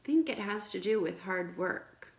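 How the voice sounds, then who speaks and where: A woman teacher reading aloud to children in an expressive, explanatory way, with questions for the listeners. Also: medium pitch, moderate pace, gravelly. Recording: echoey room.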